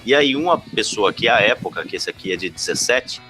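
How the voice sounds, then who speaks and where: A Brazilian man co-hosting a podcast, explaining his points in a casual, halting, insistent way. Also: fairly low pitch, expressive, sharp tone, faint music behind. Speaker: podcast co-host.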